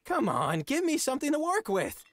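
A man's voice: very high voice, wildly swooping pitch, normal speed, no echo, heavily acted. An actor playing an awkward, polite young noble lord in a video game, earnest and hesitant in his speech. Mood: flustered. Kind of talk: video game character acting.

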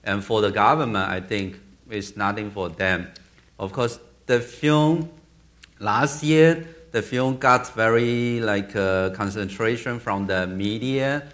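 A man in his thirties with a Burmese accent answers questions on a theater stage. He speaks slowly and haltingly, in a fairly low voice, and often restarts his sentences. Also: fairly bright tone. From Myanmar. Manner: halting, searching for words, with frequent restarts. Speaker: film director.

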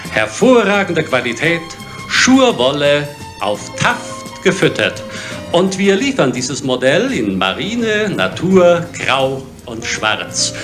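An actor playing a middle-aged fashion show presenter, giving smooth, boastful, emphatic sales patter into a handheld microphone. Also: male voice, on the high side. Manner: showy, emphatic sales delivery. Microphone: handheld microphone on stage. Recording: music under the voice.

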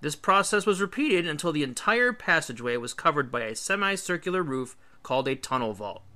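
Man, higher-pitched voice, lively intonation, scripted educational read-aloud, emphatic phrasing. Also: brisk. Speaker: teacher reading aloud. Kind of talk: scripted read-aloud of an illustrated history book.

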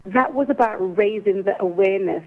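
A woman in her forties, born in Jamaica but with an English accent, speaking earnestly and slowly as an interview guest over the phone. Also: medium pitch. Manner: earnest, careful, deliberately slow delivery. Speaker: guest, restorative justice coordinator. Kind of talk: phone-in interview, explaining a program. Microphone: telephone. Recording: tinny phone line.

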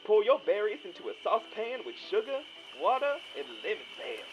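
A woman's voice: medium pitch, sing-song, brisk, lightly acted. A woman playing a cheery TV cooking show host, chirpy and instructional as she addresses viewers at home. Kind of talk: TV cooking show presenting, acted. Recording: dull lo-fi TV sound with music.

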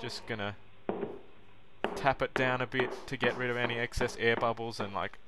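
A young man narrates a how-to in an informal, self-deprecating way. His mid-range voice stays fairly monotone. The recording is clearly noisy, with kitchen clicks around him. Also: mid-range voice, normal speed. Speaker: vlogger demonstrating how to make coffee. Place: small home kitchen, indoors.

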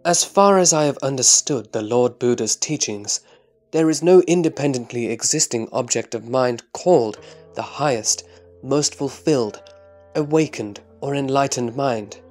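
Man acting reverent male disciple, measured, scripture audio drama, faint music behind. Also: mid-range voice, darkish tone, natural voice. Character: Subhuti, a reverent male disciple answering his master.

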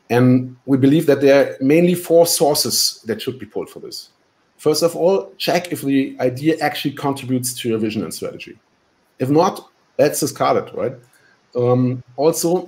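A man's voice: mid-range voice, a little mellow. Man presenting on a video call. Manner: explanatory, many "right?" tags and "um" fillers. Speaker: workshop presenter. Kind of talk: webinar presentation.

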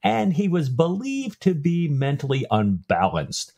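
A man's voice: on the high side, huge pitch swings, a little slow, gravelly, a little mellow. A man co-hosting a podcast, telling a historical anecdote with enthusiasm. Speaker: podcast co-host. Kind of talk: casual podcast discussion.

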